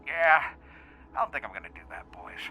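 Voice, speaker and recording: A man's voice: medium pitch, big pitch swings, fairly quick, bright, forceful tone, faint music behind, low-quality recording. A man playing a world-weary, defiant old fighter with a folksy, taunting manner in an audio drama. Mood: cocky, defiant. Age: older.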